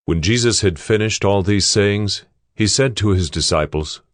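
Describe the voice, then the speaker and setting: A man narrating scripture from a script in a solemn, measured manner. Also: lowish voice, dry recording, studio quality.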